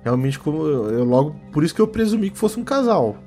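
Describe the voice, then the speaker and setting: A man's voice: fairly high pitch, sing-song, fast, dark tone. Guy acting as a jittery office worker witness in a tabletop rpg, stumbles and repeats himself, music behind. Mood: anxious, hesitant. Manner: hesitant, stammering, repeats words. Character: nervous male office worker, witness under police questioning.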